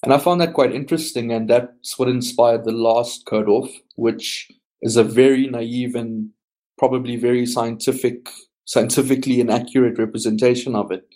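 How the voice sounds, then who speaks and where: Podcast guest, male team lead, dark mellow voice, explains at length with ums. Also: mid-range voice, steady tone, normal speed. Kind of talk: casual podcast interview.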